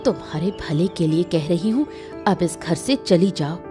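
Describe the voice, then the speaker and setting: A woman's voice: fairly low pitch, animated, normal speed, music in the background, natural voice. A woman voicing a stern, reproachful woman of the household in a cartoon moral story, scolding firmly.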